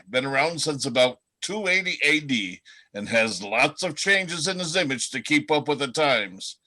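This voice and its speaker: Man playing a weary old reindeer, bright voice. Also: mid-range voice. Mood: amused. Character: weary, elderly retired male reindeer, good-humored. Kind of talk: radio-play style readers theatre acting.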